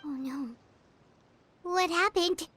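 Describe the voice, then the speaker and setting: A woman voicing a small, earnest childlike companion in a video game, squeaky, eager and expressive. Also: slow, slight background noise, exaggerated voice. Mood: dismayed. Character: small, childlike, earnest companion creature, female, curious and easily upset. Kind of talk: animated video game dialogue.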